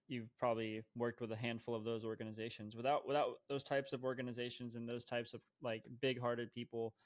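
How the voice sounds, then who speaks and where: Halting podcast co-host, flat delivery. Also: male voice, mid-range voice, dry recording. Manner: rambling, halting with many restarts. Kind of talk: casual podcast interview.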